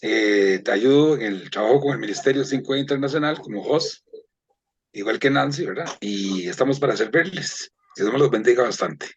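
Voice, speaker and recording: A man's voice: mid-range voice, animated, normal speed, breathy edge, lo-fi sound. A man from Costa Rica who works as a ministry host, greeting everyone with blessings.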